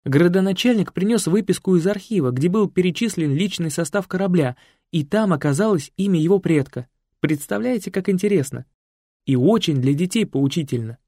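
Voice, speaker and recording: A talkative, kindly village hostess chatters along with animated pitch swings, as a male audiobook narrator puts her on. The sound is close and dry. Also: fairly quick, dry recording. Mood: chatty. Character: chatty, kindly village woman, a priest's daughter. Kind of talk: audiobook character voice.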